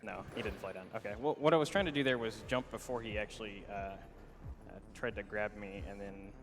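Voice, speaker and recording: Male runner, casual live commentary, rapid delivery, low-ish voice, heavy music bed. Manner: explains game mechanics while playing, loose with fillers. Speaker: speedrunner playing live. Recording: loud game music behind voice.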